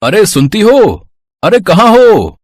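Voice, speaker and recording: A man's voice: mid-range voice, moderate pace, a little hiss or hum, lightly acted. A man voicing a cheerful, eager village husband in a children's moral story. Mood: excited.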